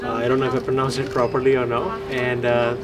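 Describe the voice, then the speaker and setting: A man's voice: mid-range voice, completely flat delivery, fast talker, heavy background noise. A young adult man chatting casually to the camera as a travel vlogger. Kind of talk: casual vlog chat to camera. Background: very loud background music.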